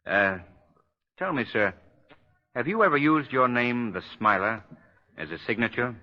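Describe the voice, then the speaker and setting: An actor playing a suave, unflappable gentleman sleuth in a 1940s radio mystery, polite and dryly understated. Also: mid-range voice, animated, a little slow, natural voice. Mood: dry, wary. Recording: muffled vintage 1940s radio recording.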